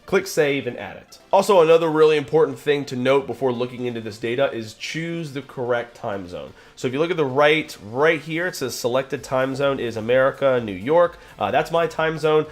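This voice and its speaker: A man presenting a software tutorial, upbeat and chatty, his voice a little nasal from being sick. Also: medium pitch, moderate pace, few pauses. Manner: upbeat, chatty, casual fillers. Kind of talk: screen-recorded software tutorial.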